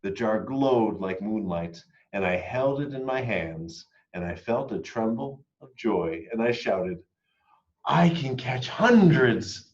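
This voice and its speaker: A man reading a children's picture book aloud expressively, moving between hushed and emphatic delivery. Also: on the low side, a little slow, few pauses, lo-fi sound. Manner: dramatic, hushed and emphatic by turns. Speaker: read-aloud storyteller.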